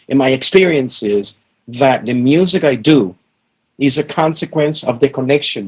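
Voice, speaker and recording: A man's voice: medium pitch, a little mellow, slightly rough, poor audio. Middle-aged man, interview guest; earnest and emphatic, circles back on words, mid-range voice. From Venezuela. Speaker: guest musician. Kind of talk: heartfelt interview answers.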